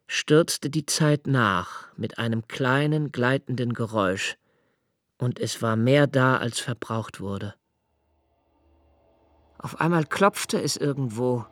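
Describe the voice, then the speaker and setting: An actor playing a melancholy, observant young man, narrating a literary radio drama in a measured, introspective way. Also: mid-range voice, no echo, clean studio sound, close to an everyday voice. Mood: absorbed, reflective. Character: melancholy, observant young man recalling his father's death.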